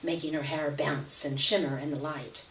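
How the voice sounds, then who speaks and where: A woman reads a short story aloud at a live event, with expressive, dramatic and emphatic narration. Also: low voice, normal speed. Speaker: story reader. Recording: roomy, distant live recording with noise.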